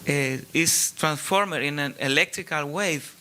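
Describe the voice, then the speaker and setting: Hesitant Chilean man, slow, bright voice. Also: on the high side, clean tone. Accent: Chilean Spanish. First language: Spanish. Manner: hesitant, searching for English words, many restarts. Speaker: audience member asking a question. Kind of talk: halting question from the floor. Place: large hall, audience Q&A.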